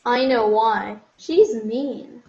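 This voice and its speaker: A woman's voice: a little high, very slow, vocal fry, warm tone, echoey room, highs cut off, heavily acted. A woman playing a blunt, fed-up teenage girl camper in an amateur radio play. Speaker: student radio play performer.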